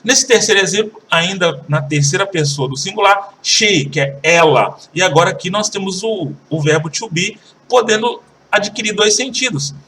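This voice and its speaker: A Brazilian man with a fairly high voice teaches an English lesson, repeating each example slowly and carefully. He sits a little back from the mic, and faint noise hums behind him. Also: pushed and bright, distant mic. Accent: Brazilian Portuguese. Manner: slow, careful repetition of example sentences.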